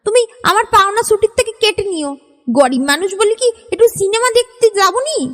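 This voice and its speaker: A woman's voice: very high-pitched, lively intonation, brisk. A woman voicing a brash, defiant housemaid in a family sketch. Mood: brash defiance. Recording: reverberant hall-like echo.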